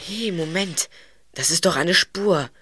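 A woman's voice: fairly low pitch, unhurried, fairly bright tone. A woman playing an alert, curious boy detective in an audio drama, with an inquisitive, musing manner. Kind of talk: amateur audio drama acting. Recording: noisy, with crow caws and clicks.

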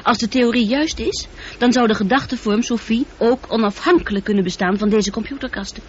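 A woman playing a calm, thoughtful female ship's computer with a precise, measured delivery and a touch of loneliness, in a radio drama. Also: fairly high pitch, lightly acted. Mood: measured, wistful. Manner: precise, factual, composed. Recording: dull, noisy old radio drama recording.

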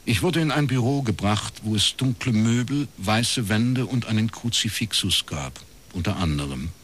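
Husky, laconic detective, low-voiced. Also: male voice, expressive, normal speed, fairly bright tone. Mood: dry, reflective. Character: laconic male East Berlin academic turned private detective. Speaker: private detective narrator. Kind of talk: radio crime drama narration and dialogue.

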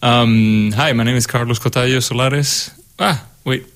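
A young man from Spain with a Spanish accent, a composer talking casually on a radio show in a studio. He pauses often with ums. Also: mid-range voice, fairly even intonation, fairly bright tone. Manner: hesitant, many ums. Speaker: guest composer, co-host.

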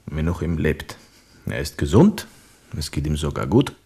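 A modest, sober bandleader in a German radio play. His voice is extremely deep. He recounts things in a measured, understated manner. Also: sing-song, vocal fry, mellow, slightly rough, full character voice. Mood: solemn. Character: sober, modest male musician and bandleader, long-lost son.

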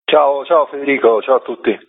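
Man, phone-quality sound, lowish husky voice, interview guest, explanatory. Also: a little fast, no room sound. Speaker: guest, spokesman of a theatre collective. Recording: tinny phone line.

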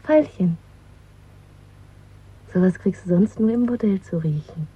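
A woman playing a bold, playful, worldly young lover in a German radio play, with a husky voice. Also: on the low side, measured pace, dark tone. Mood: playful, proud. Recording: thin phone-like old radio recording.

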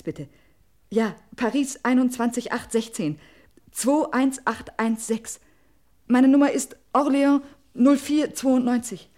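An actress playing a distraught, suspicious wife in an old radio crime drama, in a breathy, husky voice and short, broken theatrical phrases. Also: female voice, mid-range voice, darkish tone, lightly acted. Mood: tense, brisk. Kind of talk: mid-century German radio crime drama acting.

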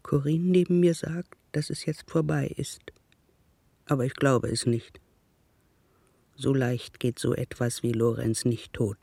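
An actor playing a bitter, haunted old man in a radio drama monologue, husky, creaky and brooding. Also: mid-range voice, level tone, unhurried, mellow, dry recording. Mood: brooding, grim.

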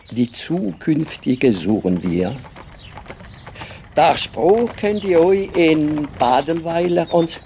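An actor playing a reflective, wry Alsatian writer in a German radio drama, speaking unhurriedly with a gravelly voice. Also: male voice, medium pitch, darkish tone, a little hiss or hum, full character voice. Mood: amicable. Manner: unhurried, mixes in French words.

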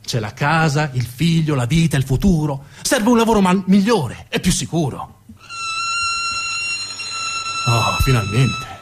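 A man's voice: on the high side, sing-song, normal speed, a little hiss or hum. A man performing narrative theatre as a stage storyteller, with dramatic delivery and emphatic repetition.

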